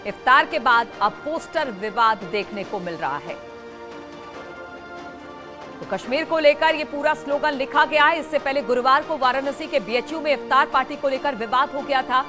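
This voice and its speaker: High-pitched woman news anchor over music. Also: normal speed. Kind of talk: broadcast news reading. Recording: news music bed behind the voice.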